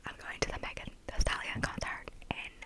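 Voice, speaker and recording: A young woman from Texas talks in a steady, airy whisper, as in an ASMR video. Her chat rambles casually and is peppered with "like" and "you know what I mean.". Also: fairly quick. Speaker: ASMR creator.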